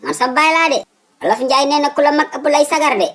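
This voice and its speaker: A man's voice: very high-pitched, fairly quick, heavy background noise. A man voicing a fussy, stubborn old father in a comic cartoon, with a Senegalese accent, exclaiming and repeating his words emphatically. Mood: suspicious.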